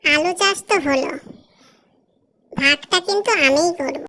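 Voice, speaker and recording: High-pitched, bright cartoon crocodile. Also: a little slow. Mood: scheming. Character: big gullible crocodile, scheming but always outwitted. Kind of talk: children's cartoon folktale dialogue.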